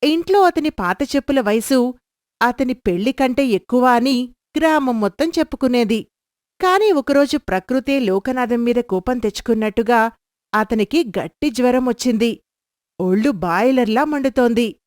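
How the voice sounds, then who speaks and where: A woman narrating a storybook tale for children in a lively storytelling manner. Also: on the high side, normal speed, no room sound, studio quality.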